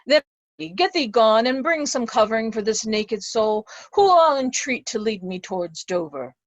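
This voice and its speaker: A woman playing a despairing, blinded old nobleman, grave, halting and lamenting, in a Shakespeare reading. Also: on the high side, lively intonation, no echo, highs cut off. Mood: bitter sorrow. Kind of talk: remote readers' theatre Shakespeare performance.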